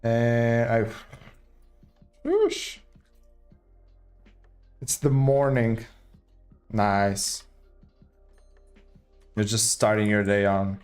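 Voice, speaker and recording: A man's voice: on the low side, lively intonation, drawn-out words, long pauses, a little mellow, clear, resonant tone, soft background music. A young man from Portugal, casually thinking aloud as he live-streams a building game. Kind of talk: live gameplay commentary.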